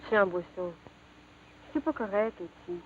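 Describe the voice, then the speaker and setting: An actress playing a devoted, principled young country sweetheart in a 1950s television drama, plain-spoken, with a Quebec French accent. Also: female voice, medium pitch, expressive, moderate pace, breathy edge. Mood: reproachful. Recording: tinny, noisy 1950s television soundtrack.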